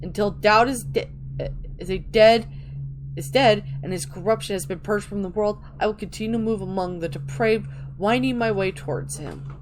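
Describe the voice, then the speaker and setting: A woman's voice: mid-range voice, slight background noise, rough recording. A woman hosting a gaming video, reading in-game texts aloud and commenting casually as she plays.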